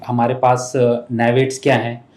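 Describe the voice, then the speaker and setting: A man's voice: medium pitch, completely flat delivery, moderate pace, a little mellow, slight background noise. Methodical Indian man in an echoey room. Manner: methodical, breaks points into parts, switches between Hindi and English. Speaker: air force officer interview guest. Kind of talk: explanatory interview talk.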